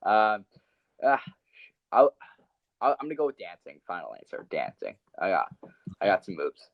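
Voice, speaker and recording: Young male podcast co-host, low pitch, lo-fi dry sound, hesitant and laughing. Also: big pitch swings, moderate pace, creaky, dry recording. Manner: laughs often, hesitant with many ums. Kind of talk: casual sports podcast chat. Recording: dull, dry podcast audio.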